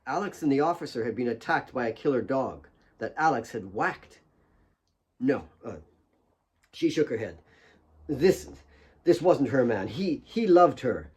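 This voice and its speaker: A man's voice: mid-range voice, expressive, unhurried, pauses often, a little mellow. A man reading his own fiction as a dramatic audiobook narrator.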